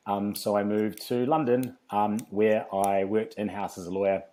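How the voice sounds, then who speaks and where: Kiwi guy, low voice, chatty podcast guest, lots of ums, laughs easy. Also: steady tone, moderate pace, dark tone. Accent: New Zealand. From New Zealand. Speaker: podcast guest, fitness company founder. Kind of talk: relaxed podcast interview.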